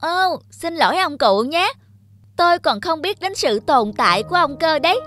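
A woman's voice: sits high, animated, moderate pace, fairly bright tone. A woman voicing an arrogant young male talking diploma in a cartoon, smug and taunting. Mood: mocking. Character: boastful, arrogant young male diploma, mocking his elders. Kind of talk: cartoon dubbing.

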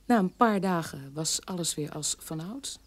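A bitter grown daughter telling of her childhood in a radio drama. Her voice sits slightly low and moves in wide, lively swings. She is emphatic, pausing as she searches back. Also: slightly rough. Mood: insistent, bitter. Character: bitter adult daughter of a Nazi camp guard, recounting childhood. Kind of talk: dramatic radio play monologue.

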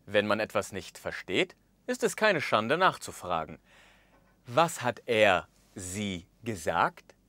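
A man in his thirties presenting a language course in a studio, speaking with expressive gestures and acting out the example phrases. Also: fairly low pitch, takes it slowly, no room sound. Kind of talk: scripted lesson narration. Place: studio with orange backdrop.

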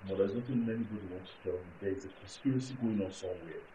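An actor playing an aggrieved, entitled husband in a film drama, with a Nigerian accent and an indignant, repetitive manner full of rhetorical questions. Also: male voice, lowish voice, very fast, background noise, lightly acted. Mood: agitated, suspicious. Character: aggrieved, hot-tempered, entitled husband bound to tradition. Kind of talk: naturalistic film drama acting.

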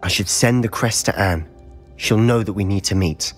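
A man's voice: on the low side, normal speed, lightly acted. A young man acting as a determined, hot-headed teenage schoolboy wizard in a video game, intense and articulate. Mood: earnest, brooding. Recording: music behind the voice.

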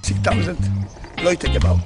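A man's voice: unhurried, over loud music. An older man giving an informal outdoor tour in choppy, broken, repetitive phrases. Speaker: tour guide. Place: outdoors at a bunker site.

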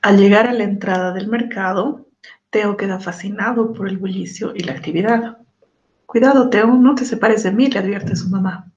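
A woman's voice: mid-range voice, moderate pace, darkish tone. Woman reader, dull echoey recording. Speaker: elementary school teacher reading aloud. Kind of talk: children's storybook reading.

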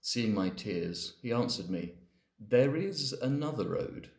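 A man's voice: mid-range voice, expressive, slow, creaky. A man reading poetry aloud as a dramatic recitation. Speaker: poetry reader. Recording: echoey, reverberant room.

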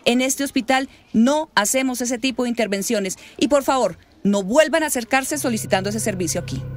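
An actress playing a condescending, officious Colombian hospital director in a radio soap opera. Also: female voice, on the high side, brisk, natural voice. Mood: officious, dismissive.

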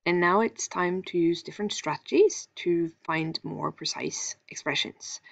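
A woman whose first language is Norwegian walks through a lesson in a calm, explanatory way, pausing with small fillers as she thinks aloud. Her voice sits on the low side. The recording sounds dull and a little lo-fi. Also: gravelly. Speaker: teacher.

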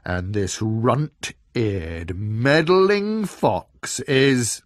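A man voicing a gloomy, pompous bear with a heavy, rumbling voice in a storybook reading. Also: expressive, extremely slow, no echo, studio quality. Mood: pompous, muddled. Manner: ponderous, blustering. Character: grumbling, gloomy, pompous bear, a thunder god in disguise.

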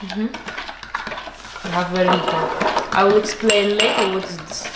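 A woman's voice: mid-range voice, fairly even intonation, normal speed, noisy background. Woman teaching baking in an echoey kitchen. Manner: patient, instructive, non-native English with Russian words. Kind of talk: home cooking lesson with her child. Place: home kitchen table, indoors. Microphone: camera across the table. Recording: echoey kitchen with clattering dishes.

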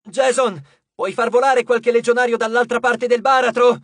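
A man voicing a young soldier in an audiobook, a decisive commander urgently shouting battle orders to his troops. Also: extremely high pitch, fairly even intonation, normal speed, no echo, studio quality, voice pushed up.

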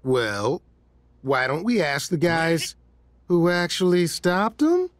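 Casting: level-headed, exasperated young game hero, high for a man, dry sound. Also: big pitch swings, takes it slowly, no room sound. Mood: wry. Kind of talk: video game cutscene acting.

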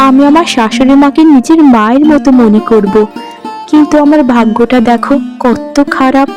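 Woman as a high-voiced, gentle young village wife. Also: normal speed, lightly acted. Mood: hurt, wistful. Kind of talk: cartoon drama dialogue. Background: plucked-string music.

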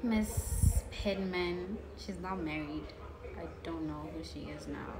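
A woman's voice: fairly low pitch, takes it slowly, very noisy. A young woman in her twenties with a South African accent, chatting casually to camera at home and drifting into joking asides. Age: 20s. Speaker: YouTuber. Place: living room at home, indoors.

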